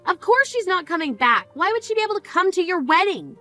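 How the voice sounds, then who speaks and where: A woman's voice: on the high side, expressive, a little fast, fairly bright tone, soft background music. A woman playing a blunt, grieving older sister who scolds and questions in disbelief, in a scripted drama. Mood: outraged. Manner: scolding, incredulous, cutting. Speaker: sister at a funeral.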